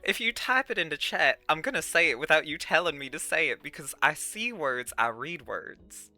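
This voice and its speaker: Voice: mid-range voice, very animated, moderate pace, sharp tone. A livestream host chatting casually with viewers in a put-on Southern US accent, a folksy Southern Belle drawl full of sugary terms of address. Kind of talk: casual livestream chat reading and answering viewers' comments.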